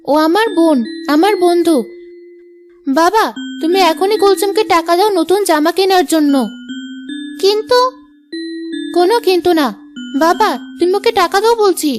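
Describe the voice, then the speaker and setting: A woman's voice: very high voice, normal speed, pauses often. A woman voicing a blunt, gluttonous, demanding grown daughter in a cartoon. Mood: indignant. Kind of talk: Bengali cartoon dub. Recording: music behind the voice.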